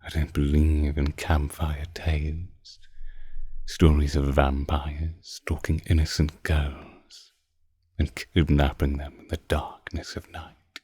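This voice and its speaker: A man's voice: very deep voice, flat delivery, mellow, heavily acted. A man playing a seductive, possessive ancient vampire in an intimate audio roleplay, commanding and teasing, sometimes sinking into whispers. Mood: mocking, menacing. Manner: slow, teasing, commanding, breathy drops into whispers. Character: seductive, predatory male vampire, ancient but youthful-looking, possessive.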